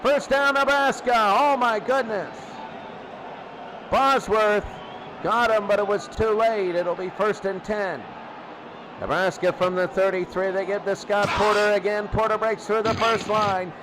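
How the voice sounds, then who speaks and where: A man's voice: high voice, fairly even intonation, measured pace, background noise. A man doing play-by-play football commentary in short, clipped calls, often repeating his phrases. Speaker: play-by-play announcer of a football game. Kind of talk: excitable sports play-by-play commentary.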